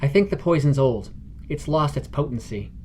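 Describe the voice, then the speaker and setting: A man voicing a gruff, blunt, confident female dwarf adventurer in a fantasy story. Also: mid-range voice, lively intonation, normal speed, darkish tone, slight background noise, natural voice. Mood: matter-of-fact.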